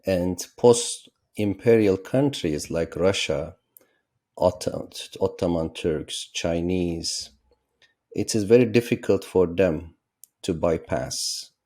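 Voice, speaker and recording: A middle-aged man from Turkey, a political science professor explaining his views as an interview guest in a measured, lecturing way. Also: low-pitched, dark tone. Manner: measured, lecturing, with rising emphatic stresses.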